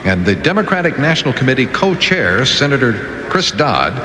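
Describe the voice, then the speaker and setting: Bright, animated male radio newsreader. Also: medium pitch, moderate pace. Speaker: radio news reader. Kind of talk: scripted radio news bulletin. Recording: echoey, reverberant, faint background noise.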